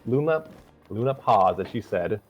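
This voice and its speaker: Low-voiced man reading a story, slightly husky. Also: expressive, highs cut off. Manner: halting, corrects misreadings mid-sentence. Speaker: story reader. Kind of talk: scripted narration read aloud from fan fiction, with stumbles.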